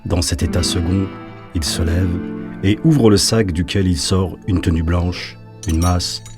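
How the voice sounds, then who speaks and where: A man narrating a sombre audio drama as a storyteller, measured and solemn. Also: low voice, steady tone. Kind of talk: scripted literary narration. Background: music.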